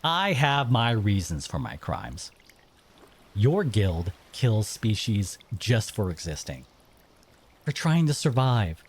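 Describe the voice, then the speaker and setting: Bitter merfolk exile played by a man, stern and accusing interrogator, very expressive pitch, slightly husky, audio drama. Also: full character voice.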